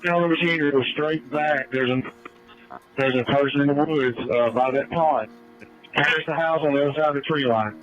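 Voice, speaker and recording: A man's voice: on the high side, moderate pace. A man talking over a two-way radio as a search responder, in practical dispatch talk. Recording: dull radio audio, faint music.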